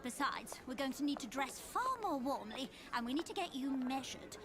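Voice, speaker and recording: A woman's voice: high-pitched, expressive, moderate pace, very noisy. An actress voicing a fussy, snobbish, doting middle-aged mother in an audio drama. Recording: clear music under the voice.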